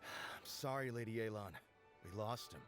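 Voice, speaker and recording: A man's voice: lowish voice, normal speed, a bit bright, natural voice. A man playing an earnest, deferential subordinate agent giving explanations to his boss in a video game. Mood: apologetic. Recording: game music behind voice.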